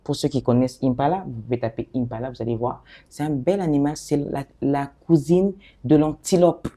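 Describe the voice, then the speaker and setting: A middle-aged woman from the DR Congo, an interview guest who talks about her work in an animated, expressive way and often restarts her phrases. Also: a bass voice, brisk. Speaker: guest, fashion designer and author. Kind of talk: conversational interview.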